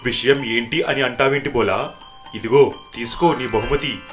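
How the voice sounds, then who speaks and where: కార్టూన్ నీతి కథలో నచ్చజెప్పే, అధికారంగా మాట్లాడే యజమాని పాత్రకు గొంతు ఇస్తున్న పురుషుడు. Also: male voice, medium pitch, fairly bright tone, soft background music, natural voice. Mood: authoritative. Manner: brisk, authoritative, placating. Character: bossy, smooth-talking male employer and company manager. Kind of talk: animated moral story dialogue.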